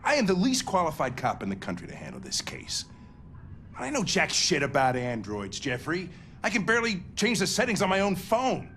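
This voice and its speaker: An actor playing a bitter, gruff middle-aged male police lieutenant who swears and argues with his boss. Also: a little high, huge pitch swings, moderate pace, fairly bright tone, a little hiss or hum. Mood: grumbling. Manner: profane, combative, grumbling.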